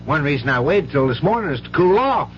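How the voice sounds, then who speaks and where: A man's voice: fairly high pitch, big pitch swings, brisk. An actor playing a frustrated man who has run out of patience, in a 1970s radio drama. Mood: weary, exasperated. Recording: dull, noisy 1970s radio broadcast.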